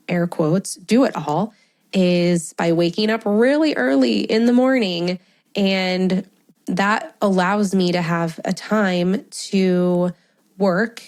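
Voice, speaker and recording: A woman's voice: on the low side, darkish tone. A woman in her thirties hosting a solo podcast, talking in a chatty, friendly way with a congested, stuffy voice and frequent laughs. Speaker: podcast host.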